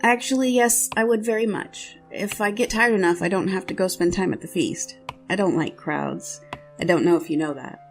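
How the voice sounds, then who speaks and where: A woman's voice: mid-range voice, moderate pace, faint music behind, natural voice. A woman voicing a shy, helpful, boyish young prince in an audio drama. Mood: earnest.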